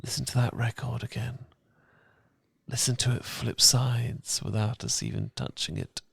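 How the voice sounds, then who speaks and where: Gravelly, breathy British man, soft ASMR. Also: mid-range voice, no room sound. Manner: gentle, calming, intimate, unhurried. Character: loving, reassuring boyfriend. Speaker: ASMR roleplay performer. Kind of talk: romantic ASMR, soothing guided meditation. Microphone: close, dry ASMR miking.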